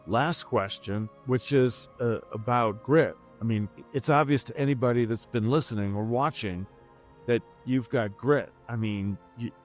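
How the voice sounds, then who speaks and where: A man hosting an interview podcast, asking questions in a measured way and searching for his words. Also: mid-range voice, soft background music. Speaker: podcast host.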